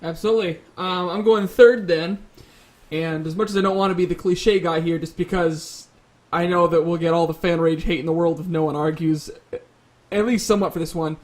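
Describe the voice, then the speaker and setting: Male panelist, high-pitched, conversational, qualifies his picks in a casual video game discussion. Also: steady tone, brisk, a little mellow.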